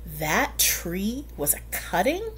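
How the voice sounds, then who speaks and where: A woman's voice: medium pitch, sing-song, fairly bright tone. A woman voicing a curious, earnest young girl in a children's storybook. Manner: wide-eyed, emphatic, drawn-out questions.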